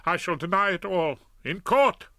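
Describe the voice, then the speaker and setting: A self-pitying older judge, played by a man whines in an animated, plaintive voice. The sound is bright and dry, close to the mic. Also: high-pitched, moderate pace, dry recording, pitched above the performer's own voice, exaggerated voice. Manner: whining, plaintive.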